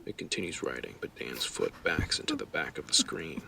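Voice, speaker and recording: A man's voice: rumbling low, animated, brisk, creaky, pushed and bright, distant mic, a little hiss or hum. A man reading a scripted horror story as its narrator.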